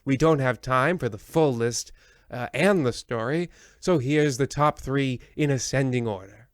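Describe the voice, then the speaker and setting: A man's voice: medium pitch, a little slow, no room sound, studio quality, lightly acted. A man playing a pompous, creepy host of an old-time radio horror comedy, theatrical and mock-sinister, with insults for the listener. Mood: dryly droll. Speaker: host of an anthology radio play.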